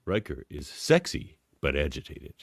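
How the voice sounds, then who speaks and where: A man's voice: a bass voice, huge pitch swings, normal speed, dry recording, studio quality. A man reading scripted stage directions as the narrator of a radio play.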